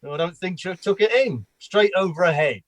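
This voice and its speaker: Male actor as amiable village bloke, high-pitched for a man, chatty, mild, dry close sound, radio comedy. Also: expressive, brisk, no room sound. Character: genial, slightly hapless village man, a regular customer. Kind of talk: comedy radio play read online.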